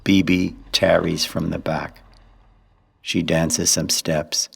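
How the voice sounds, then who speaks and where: A man narrating a poetic radio play in measured, sparse phrases. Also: low-pitched, level tone, vocal fry, fairly bright tone, husky, in a large hall.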